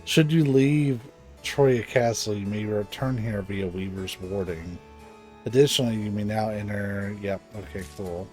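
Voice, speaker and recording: Low-voiced man doing casual game commentary. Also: measured pace, a little mellow, soft background music. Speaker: streamer playing a game live. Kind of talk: casual live game commentary, reading dialogue aloud.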